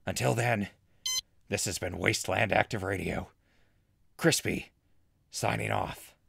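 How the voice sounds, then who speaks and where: Casting: male actor as jittery post-apocalyptic radio diarist, heavy fry, dramatic and rambling, audio drama, slight background hiss. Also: fairly low pitch. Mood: excited, elated. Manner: rambling, dramatic, with hesitant pauses. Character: excitable, jittery wasteland radio host and survivor. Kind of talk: audio drama acting as recorded diary.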